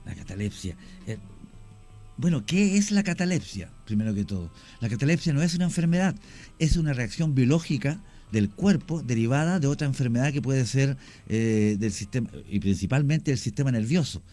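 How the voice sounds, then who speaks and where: A man, a radio guest explaining his cemetery work at length, often pausing with fillers. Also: mid-range voice, expressive, warm tone, faint music behind. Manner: explanatory, hesitant with many fillers. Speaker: guest, cemetery tour creator. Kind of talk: radio interview.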